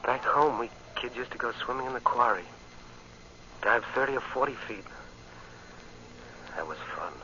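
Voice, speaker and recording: An actor playing an earnest, anxious young man from the farm, polite and halting, in an old-time radio drama. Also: mid-range voice, expressive, pushed and bright. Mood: uneasy, brave. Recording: dull, noisy old radio recording.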